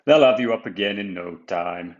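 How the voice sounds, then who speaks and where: A man playing an authoritative railway controller in a children's storybook, firm and measured. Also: lowish voice, muffled recording, natural voice. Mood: reassuring. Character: male railway controller, authoritative boss of the engines.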